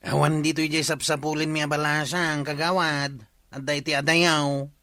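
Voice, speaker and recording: An actor playing an earnest, naive, lovestruck single villager in a comedy radio drama. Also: male voice, on the high side, level tone, normal speed, sharp tone, no room sound. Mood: dreamy, hopeful.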